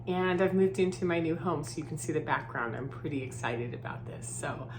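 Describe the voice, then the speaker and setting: A woman's voice: low-pitched, brisk, noisy background. A woman from Southern California with a head cold and a groggy voice, chatting in a casual vlog from her new home and often tagging "right?" onto her points. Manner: chatty, filler-heavy, often adds "right?". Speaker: vlogger.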